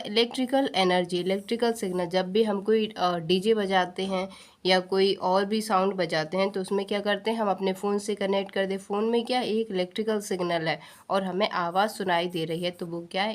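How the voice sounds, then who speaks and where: A woman's voice: mid-range voice, fairly even intonation, normal speed. An Indian woman teaching an exam-prep science lesson, posing questions and answering them herself, repeating key points and mixing in English technical terms.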